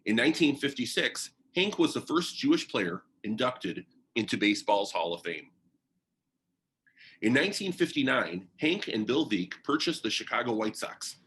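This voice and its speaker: A man's voice: medium pitch, very animated, moderate pace, fairly bright tone. Man reading a biography aloud. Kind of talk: read-aloud narration of a children's biography.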